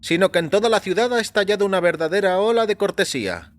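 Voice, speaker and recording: A talkative, genial doctor, acted by a man in an audio story. The voice rides high and animated, breezy and matter-of-fact, with music underneath. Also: fast, a little hiss or hum. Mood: animated, chatty. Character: chatty, jovial doctor, curious and matter-of-fact. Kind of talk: audio fiction character acting. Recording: music behind the voice.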